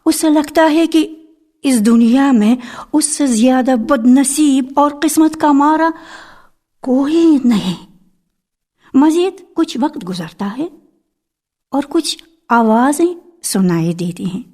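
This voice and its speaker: A woman's voice: high-pitched, lively intonation, takes it slowly, mellow. A woman reading a literary story as dramatized radio narration. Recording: archival radio broadcast with music. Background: music.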